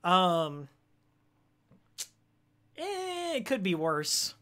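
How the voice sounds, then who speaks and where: Game streamer, mid-pitched raspy voice worn from yelling; rambling, slangy, opinionated commentary with jokes. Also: huge pitch swings, very slow. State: voice worn from screaming and ranting. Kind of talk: casual rambling gameplay commentary with fan trivia.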